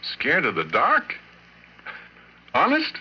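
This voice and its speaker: A man's voice: on the high side, wildly swooping pitch, slow, breathy edge, exaggerated voice. An actor playing a folksy, easygoing oil rig hand with colloquial working-man talk in an old-time radio horror drama. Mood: sympathetic, amused. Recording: dull, noisy old radio recording.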